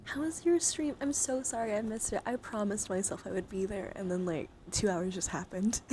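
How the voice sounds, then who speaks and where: A woman hosting a casual ASMR livestream, chatting playfully and coaxingly and often slipping into breathy whispers. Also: mid-range voice, brisk, warm tone. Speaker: livestream host. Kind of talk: casual chat and ASMR handcam stream. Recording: clearly audible background noise.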